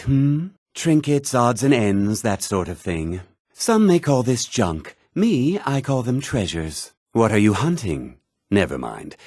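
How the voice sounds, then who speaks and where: Casting: condescending fantasy RPG townsman, short-tempered, lively swooping intonation, close dry sound. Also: medium pitch, breathy edge, no echo, heavily acted. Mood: salesmanlike. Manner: condescending, curt, sneering. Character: haughty, irritable townsman of a fantasy realm. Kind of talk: fantasy video game character lines.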